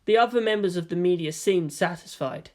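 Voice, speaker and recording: A woman's voice: fairly low pitch, brisk, a little mellow. A woman reading a story aloud as dramatic scripted narration. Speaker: fan fiction reader.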